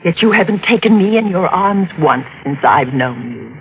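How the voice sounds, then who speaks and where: An actress playing a scheming, unhinged woman murderer in a 1940s radio drama, speaking in a breathy, theatrical way. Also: fairly low pitch, animated, moderate pace, a little hiss or hum. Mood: seductive. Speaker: accused widow. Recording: tinny old radio broadcast.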